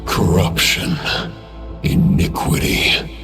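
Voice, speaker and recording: A male actor voicing an ancient, menacing being in a video game, speaking in a slow, grand, accusing manner. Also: natural voice. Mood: menacing, condemning. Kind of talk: dramatic video game voice acting. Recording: music behind the voice.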